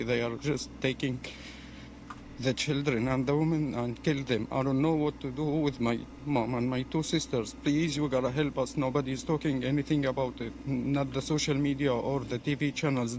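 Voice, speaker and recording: A man's voice: medium pitch, steady tone, moderate pace. A man from Syria giving a pleading, repetitive personal testimony in an amateur video appeal. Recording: noisy amateur video clip.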